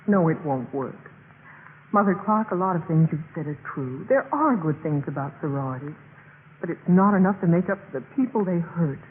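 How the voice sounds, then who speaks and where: An actress playing an earnest, principled young sorority pledge in a 1950s radio drama, speaking emphatically. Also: female voice, on the low side, natural voice. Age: young adult. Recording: muffled, noisy 1950s radio recording, reverberant.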